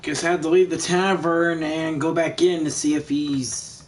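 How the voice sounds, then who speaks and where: A man's voice: a little high, fairly even intonation, normal speed, slight background noise. A man live-streaming a video game, reading dialogue aloud and commenting as he plays. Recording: distant mic, game music behind. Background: game music.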